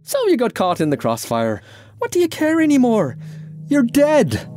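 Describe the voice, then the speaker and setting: A man's voice: mid-range voice, wildly swooping pitch, normal speed, darkish tone, faint music behind, pitched above the performer's own voice. A man voicing an eccentric, mischievous Irish ghost in an audiobook story, wordy and blithely unbothered. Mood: bored, goading.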